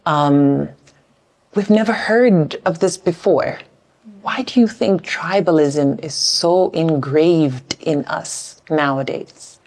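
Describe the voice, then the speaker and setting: A woman with a low, steady voice conducts an interview. She is from South Sudan and speaks thoughtfully, often repeating a word while she works out her question. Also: slow. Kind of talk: conversational interview.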